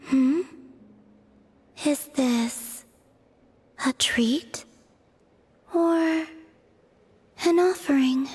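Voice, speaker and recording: A woman playing a serene, enigmatic young goddess in a video game, soft and breathy, detached and dreamy. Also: sits high. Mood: detached curiosity. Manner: slow, detached, cryptic. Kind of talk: video game character acting. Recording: music behind the voice. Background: game music.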